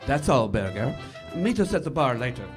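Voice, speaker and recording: Man playing a calm, mid-pitched resistance leader. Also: normal speed, over music, natural voice. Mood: composed, resolute. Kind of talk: 1940s radio acting.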